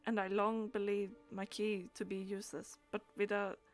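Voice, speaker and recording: A woman game streamer with a mid-pitched voice, talking through her play and reading dialogue aloud. Her delivery is halting, with stumbles and repeated words. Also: flat delivery, soft background music.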